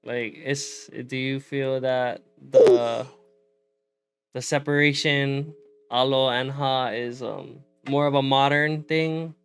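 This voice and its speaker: A man's voice: medium pitch, steady tone, slow pace, clean tone, soft background music, poor audio. A man hosting a casual interview, easygoing and full of "like" fillers. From Hawaii. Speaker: host.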